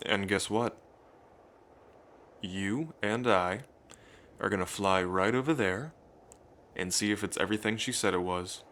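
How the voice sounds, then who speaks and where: A man playing a romantic dragon king in an intimate ASMR roleplay, tender and unhurried, with playful pride as he talks to the listener. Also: fairly low pitch, fairly bright tone. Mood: playful, eager.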